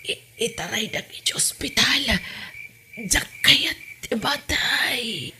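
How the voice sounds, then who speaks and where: A woman's voice: on the high side, lively intonation, slow, pushed and bright, husky, in a whisper, full character voice. A woman playing a sickly older mother, breathless, plaintive and groaning in an audio drama. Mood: gasping, pained.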